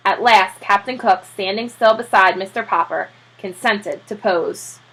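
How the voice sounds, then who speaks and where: A woman reading a children's story aloud in an expressive, animated teacher's manner. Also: mid-range voice, measured pace, husky, poor audio. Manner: expressive, acts out character voices.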